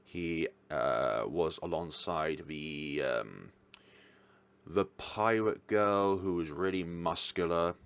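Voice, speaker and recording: A man's voice: sits low, slow pace, gravelly, clean tone. A man giving casual, informal commentary as a reviewer, talking in a rambling way. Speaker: visual novel reviewer.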